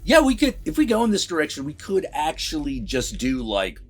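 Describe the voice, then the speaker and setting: A man's voice: on the high side, huge pitch swings, brisk, husky, faint music behind. A man co-hosting a movie review vlog, talking casually with wry, informal asides. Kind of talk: casual conversational chat.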